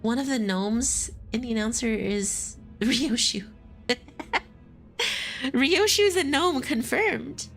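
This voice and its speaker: A woman's voice: fairly high pitch, takes it slowly, fairly bright tone, faint music behind. Chatty, giggly woman streamer. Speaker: streamer reacting to a game. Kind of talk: casual commentary over gameplay.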